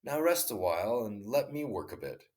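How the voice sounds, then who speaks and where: A man's voice: fairly low pitch, sing-song, moderate pace, darkish tone. A man reading as a frail old hermit. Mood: calm. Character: frail, weak old male hermit, calm and wise.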